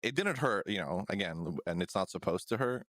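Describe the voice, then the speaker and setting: A man hosting a casual podcast, easygoing, chuckling and a bit halting. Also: deep voice, lively intonation, fairly bright tone, dry recording, studio quality. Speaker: podcast host. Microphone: studio microphone.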